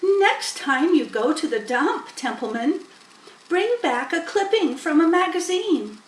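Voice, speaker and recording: A shrewd old storybook sheep, voiced by a woman, emphatic and persuasive. The voice is on the high side, in a roomy recording. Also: expressive, measured pace, far from the mic. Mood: stern, lecturing. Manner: emphatic, lecturing. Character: old female sheep, shrewd, firm, persuasive barn elder.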